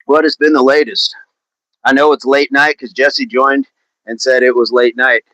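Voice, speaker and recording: This is a man hosting a casual fitness livestream, talking at an everyday middle pitch. He stays chatty and upbeat, and laughs easily as he answers viewers' comments. The recording is dry, with no room sound around the voice. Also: little pitch movement, moderate pace, pushed and bright, no room sound, low-quality recording. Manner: chatty, upbeat, laughs often, repeats phrases.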